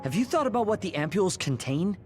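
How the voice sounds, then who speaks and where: A man playing a hot-tempered but soft-hearted young soldier in an anime-style game dub, emphatic and openly emotional. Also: high voice, big pitch swings, quick pace. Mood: alarmed. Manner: emphatic, protesting. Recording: loud music behind.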